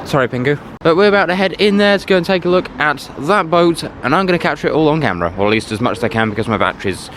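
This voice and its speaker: A chatty man narrates a casual travel vlog with a lively, swooping pitch. He is self-deprecating and informal. There is some ambient noise behind his voice. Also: very fast, hardly any pauses. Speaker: travel vlogger.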